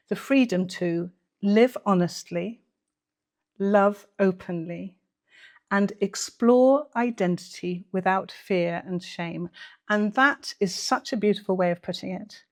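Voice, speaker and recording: A woman's voice: medium pitch, takes it slowly. Earnest, emphatic woman commentator. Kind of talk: conversational reaction commentary to camera.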